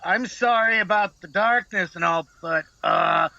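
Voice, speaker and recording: A man playing an eager, clueless and rather disgusting werewolf sidekick in a comedic audio drama, with a creaky, strained voice. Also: high-pitched, unhurried, pushed and bright, no room sound, muffled recording, exaggerated voice. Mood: sheepish.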